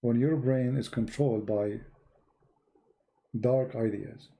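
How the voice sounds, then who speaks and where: Host, male, English, gravelly and dark-toned, blunt emphatic delivery, repeats points. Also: medium pitch, fairly even intonation, takes it slowly. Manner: blunt, emphatic, repeats words, non-native grammar. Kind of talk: live-streamed religious talk.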